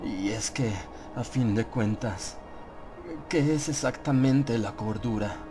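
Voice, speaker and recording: Male horror audiobook narrator, medium register, dramatic and measured first-person telling over a musical bed. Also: mid-range voice, music in the background. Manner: dramatic, measured storytelling with pauses.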